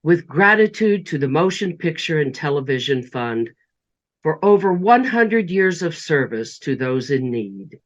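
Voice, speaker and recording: Male announcer, slow dry credit read, dull lo-fi recording. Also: on the high side, very animated. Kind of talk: scripted closing narration and credits.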